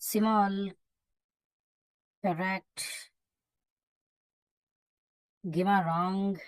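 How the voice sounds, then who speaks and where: A woman with an Indian accent teaching a live online English class in a brisk, instructive way with short, clipped remarks. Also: mid-range voice, fairly even intonation, clean tone, dry recording. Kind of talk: live interactive lesson, calling out names and marking answers.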